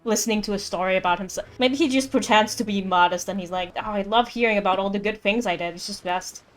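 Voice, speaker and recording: A woman game streamer giving casual, excitable, giggly commentary on a playthrough. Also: medium pitch, fast, soft background music. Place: live game stream with game music behind.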